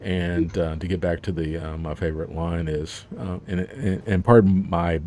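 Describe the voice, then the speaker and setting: A man's voice: low voice, steady tone, normal speed, darkish tone, a little hiss or hum. A man, an author, talking about his book in a casual interview, rambling with plenty of fillers and laughs.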